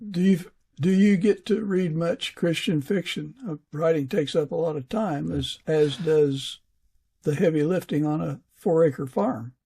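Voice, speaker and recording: A man with a slow, creaky delivery and a husky rasp. He hosts an interview in a conversational way, feeling for his words and halting often. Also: a little high, warm tone. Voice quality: husky, gravelly. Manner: hesitant, halting. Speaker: podcast host and fiction author.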